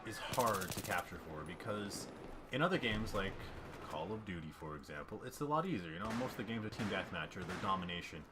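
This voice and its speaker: A man's voice: low voice, animated, brisk, noisy background. A young man giving rambling, self-deprecating voice-over commentary on his gameplay while fighting off a cough. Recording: game gunfire clearly heard behind. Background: game gunfire and ambience.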